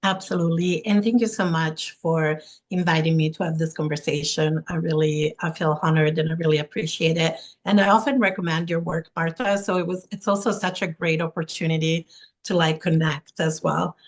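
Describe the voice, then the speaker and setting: A non-binary therapist from Italy, with Italian as a first language, being interviewed as a guest expert. They speak warmly and earnestly over a video call, often listing things in long runs. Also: medium pitch, steady tone, fairly quick. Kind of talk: interview, self-introduction.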